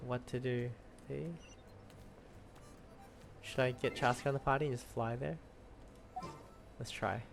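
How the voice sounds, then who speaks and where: A man's voice: medium pitch, steady tone, unhurried, gravelly, long pauses, over music. A man giving casual let's play commentary as he streams a video game.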